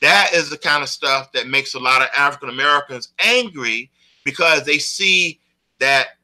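A man's voice: fairly high pitch, bright, forceful tone, rough recording. A man giving spoken commentary, explaining in long, built-up points.

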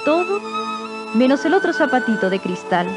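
A high-pitched woman tells a fairy tale as a storybook narrator. Orchestral music plays prominently behind her on a 1950s record. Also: big pitch swings, moderate pace.

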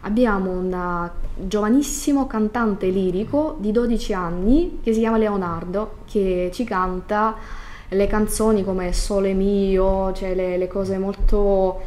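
A woman's voice: mid-range voice, steady tone, slow pace, darkish tone, a little hiss or hum. A woman in her thirties talking casually in a studio interview, hesitating as she searches for words. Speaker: guest event organiser. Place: small glass-walled broadcast studio. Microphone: studio desk microphone.

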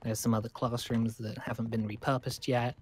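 A man's voice: mid-range voice, flat delivery, brisk, darkish tone, slight background noise, natural voice. A man playing a polite, awkward school survivor in a game roleplay, stammering and apologetic as he rambles. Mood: hesitant.

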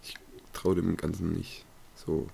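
Young man, low gravelly voice, slow unhurried talk, a bit under the weather, swears a lot, gameplay commentary. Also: flat delivery, dark tone, slight background noise. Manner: swears often, startled yelps. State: slightly ill, coughing. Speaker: let's play gamer.

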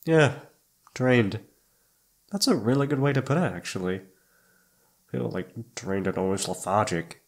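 A man's voice: fairly low pitch, measured pace, long pauses. A man playing a shy, flustered young incubus roommate in an ASMR roleplay, hesitant and awkward, often trailing off. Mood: weary, pensive. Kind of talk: ASMR roleplay audio drama.

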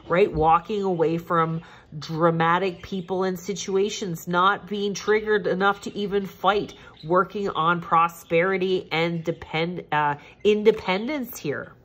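A woman with a low voice gives a tarot reading at her table at home. She sounds congested and a little nasal, and she speaks in a gentle, reassuring way that circles back over the same points. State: congested, stuffed-up nose. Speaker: tarot reader. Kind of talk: casual tarot reading monologue.

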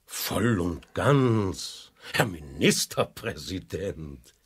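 An actor playing an eager, compliant union boss in a satirical radio play, formal and keen to please. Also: male voice, sits low, big pitch swings, very slow, breathy edge, full character voice. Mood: eagerly compliant.

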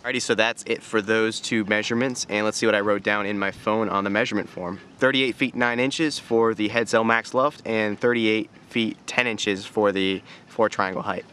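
A man's voice: lowish voice, steady tone, normal speed, few pauses, fairly bright tone. A young man casually explaining sail measurements on his boat outdoors in a boatyard, talking into a handheld camera. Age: young adult. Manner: easygoing, explaining step by step. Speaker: sailing vlog co-host. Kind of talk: casual how-to vlog. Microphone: handheld camera close up. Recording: faint outdoor wind noise.

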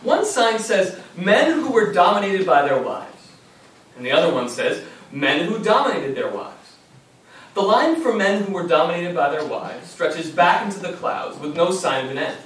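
A man acting a fond, self-conscious grandson in a stage memory play. He is young and fairly high-voiced, with lively swings of pitch, heard from far off in an echoey theater. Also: normal speed. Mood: wry, fond. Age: young adult. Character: affectionate, self-conscious grown grandson narrating family memories. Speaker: stage actor. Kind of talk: theatrical narration and dialogue before an audience. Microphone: far camera microphone. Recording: echoey theater, distant mic, faint noise.

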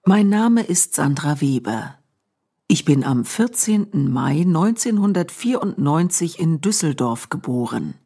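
A woman reading a first-person language-learning text aloud, with a careful, clear and evenly paced scripted delivery. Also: low-pitched, big pitch swings, unhurried, dark tone.

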